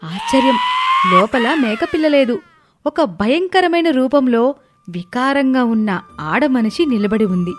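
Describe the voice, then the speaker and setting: A woman narrating a scripted moral story in an expressive storytelling style. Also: high-pitched, measured pace. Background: background music.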